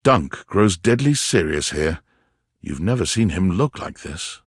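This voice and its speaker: A wry male narrator guides the player through a playful dating game. His voice is slightly low and husky, with very lively swings in intonation. He tells each scene in an expressive, theatrical storyteller's manner. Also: a little slow, no room sound, studio quality, heavily acted. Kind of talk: scripted second-person narration.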